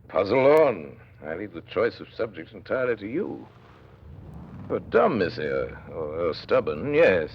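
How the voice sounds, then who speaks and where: A man's voice: sits low, a little hiss or hum. A man playing a stern, brusque master of a manor in a classic radio drama, imperious and mocking. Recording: muffled old radio play recording.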